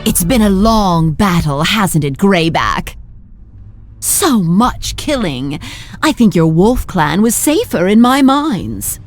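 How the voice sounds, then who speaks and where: A woman's voice: mid-range voice, sing-song, slow pace, soft background music. A woman playing a mad, gloating sorceress tyrant who believes herself a goddess, in theatrical video game villain style. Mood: taunting.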